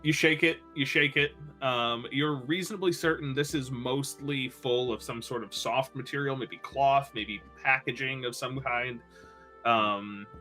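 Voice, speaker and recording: A man's voice: medium pitch, fairly even intonation, a little slow, pushed and bright, faint music behind. A man narrating a tabletop game as game master, conversational and descriptive with a playful streak. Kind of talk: improvised tabletop game narration among friends.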